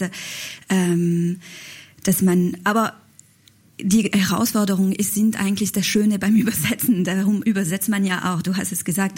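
Halting, earnest woman translator on panel. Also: medium pitch, darkish tone, husky. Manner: halting, self-correcting, earnest. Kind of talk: spontaneous panel discussion.

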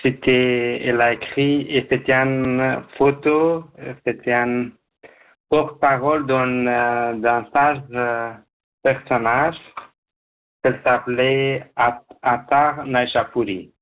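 A man from Afghanistan, speaking as a guest in a radio interview, halting, with many restarts. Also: mid-range voice, fairly even intonation, phone-quality sound. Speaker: guest job seeker.

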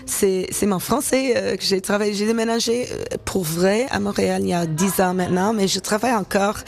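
A woman in her thirties, a singer-songwriter from Toronto, speaking French with an English-speaking Canadian accent, pausing often to find her words in a radio interview. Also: mid-range voice, vocal fry, darkish tone. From Canada, born in Toronto. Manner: hesitant, searching for words, many fillers.

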